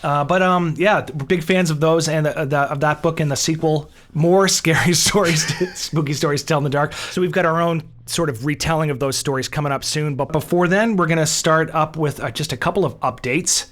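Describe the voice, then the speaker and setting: A man's voice: fairly high pitch, fairly quick, hardly any pauses, a bit bright. A man co-hosting a podcast in a casual, conversational style. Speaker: podcast co-host.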